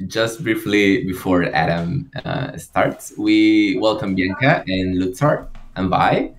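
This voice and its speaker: A man hosting an orientation call, welcoming newcomers and prompting introductions slowly. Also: on the low side, lively intonation, roomy sound, slight background noise. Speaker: call host.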